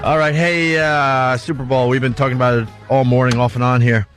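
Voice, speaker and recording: A man's voice: medium pitch, little pitch movement, fairly quick, a bit bright, soft background music. A man hosting a radio talk show, chatting casually with informal, irreverent comedic banter into a close studio mic.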